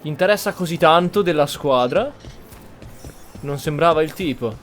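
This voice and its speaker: A man's voice: fairly high pitch, expressive, brisk, faint music behind. A man with an Italian accent giving casual let's play commentary as a gaming streamer.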